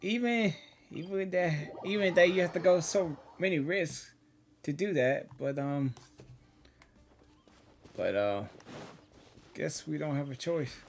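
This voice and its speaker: A man reacting casually to a video game, musing off the cuff in a halting way. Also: fairly high pitch, creaky, slight background noise. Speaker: gaming reaction streamer.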